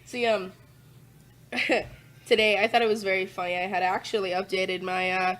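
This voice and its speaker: A woman's voice: medium pitch, little pitch movement, normal speed, fairly bright tone. A woman on a podcast as the guest, chatting casually and telling stories in a laid-back, profane way, with lots of fillers and laughs. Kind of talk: casual chat.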